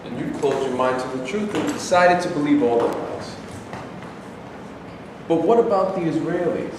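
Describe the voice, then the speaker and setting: A man's voice: fairly high pitch, lively intonation, normal speed, lightly acted. Man acting an indignant debater, distant and echoey. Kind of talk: staged reading of scripted dialogue. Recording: distant mic, echoey hall, noisy.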